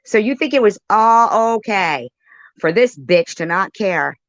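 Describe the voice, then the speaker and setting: A woman's voice: medium pitch, expressive, measured pace, no room sound, lo-fi sound. A woman doing casual reaction commentary in an accusatory, sarcastic way. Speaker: commentary video host. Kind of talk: casual reaction commentary on a live stream clip.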